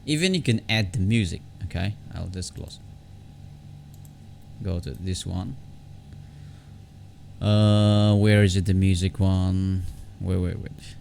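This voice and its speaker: A low-pitched man walks through a software tutorial off the cuff. His voice has a gravelly creak, and he halts and repeats phrases as he goes. There is clear background noise on the recording. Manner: halting, repetitive, often restarting phrases. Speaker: tutorial host.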